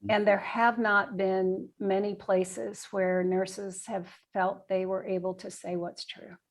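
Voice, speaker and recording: A woman gives a reflective interview answer at a very slow pace. She searches for her words, often repeating a phrase before she moves on. Her voice sits at an average pitch. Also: little pitch movement. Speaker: guest, nursing and bioethics professor.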